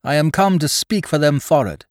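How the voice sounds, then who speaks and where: Narrator voicing a cold, pious sea mutineer, pitched up and loud, storybook. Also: male voice, lively intonation, normal speed, no room sound, studio quality, natural voice. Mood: sanctimonious. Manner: preachy, old-fashioned sailor speech. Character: sanctimonious mutinous sailor, man, cold and preachy.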